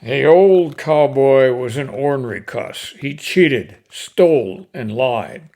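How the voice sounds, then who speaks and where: Casting: older male poetry reader, folksy and unhurried, slow delivery, gravelly fry, mid-range pitch. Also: expressive, a little mellow. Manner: folksy, unhurried, with pauses between phrases. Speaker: host reading cowboy poetry. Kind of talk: casual introduction and expressive poem recitation.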